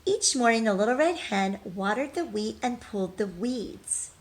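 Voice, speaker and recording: A woman teacher reading a storybook aloud expressively, pausing to put questions to young listeners. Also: a little high, expressive.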